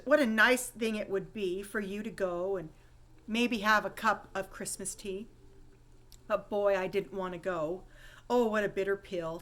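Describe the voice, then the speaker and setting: A woman reading a rhyming holiday story poem aloud with expressive, dramatic storytelling emphasis. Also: mid-range voice. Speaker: poem reader.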